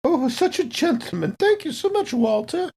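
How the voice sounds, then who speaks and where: A genteel older lady, acted by a woman in a tabletop game, high-voiced and swooping through her phrases. She is fussy, coy and fluttery, with old-fashioned politeness. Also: sing-song, moderate pace, a little mellow. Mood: flattered, gracious. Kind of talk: improvised role-play in a tabletop game.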